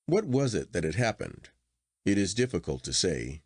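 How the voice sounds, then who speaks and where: Male audiobook narrator, lower register, very varied intonation, expressive literary reading, dry close sound. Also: normal speed, slightly rough, no room sound.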